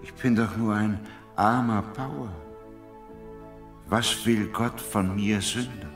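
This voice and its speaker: An actor playing a humble, middle-aged farmer and worried father in an earnest, expressive Bible audio drama. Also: fairly low pitch, slow pace, faint music behind. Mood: humble, astonished.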